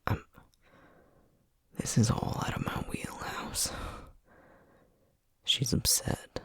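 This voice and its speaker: A man playing a gentle, burnt-out sorcerer dad with a Southern American accent in a whispered ASMR roleplay, halting and full of self-doubt. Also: on the low side, no echo, studio quality, full character voice. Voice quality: hushed, breathy whisper. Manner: halting, confiding, with sighs and trailing pauses.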